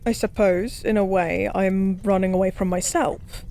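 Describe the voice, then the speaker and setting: A woman voicing a lonely, drunk fallen goddess in a soft-spoken audio roleplay, musing and rambling with weary melancholy. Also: mid-range voice, fairly even intonation, normal speed, natural voice. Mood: melancholic, resigned.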